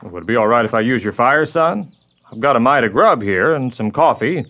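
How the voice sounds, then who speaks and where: A man playing a calm, steady Texas Ranger with an American accent, friendly but probing and folksy in a Western audio drama. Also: mid-range voice. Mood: reassuring, easygoing. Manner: folksy, measured questioning.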